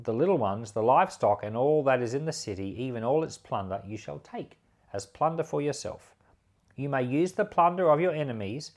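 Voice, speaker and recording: Man preaching with lively, varied pitch. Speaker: pastor reading scripture and commenting. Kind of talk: Bible reading with devotional commentary.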